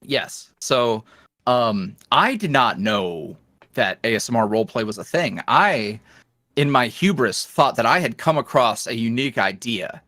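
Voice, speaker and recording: A man's voice: medium pitch, big pitch swings, measured pace, pushed and bright, no room sound, clean studio sound. A man speaking as a podcast guest in casual interview chat, with a wry, self-deprecating, rambling manner. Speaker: podcast guest, ASMR roleplay creator.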